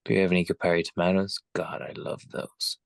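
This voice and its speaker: Male actor as laid-back space commander, low creaky voice, flat delivery, table read. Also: a little fast. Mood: measured, dry. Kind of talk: live screenplay table read acting.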